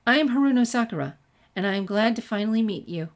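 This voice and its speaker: Lady playing a confident young ninja girl, lifted pitch, eager and forthright, fanfic dialogue. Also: expressive, fast. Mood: proud, pleased. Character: bold, confident young female ninja inventor. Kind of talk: fan fiction character dialogue.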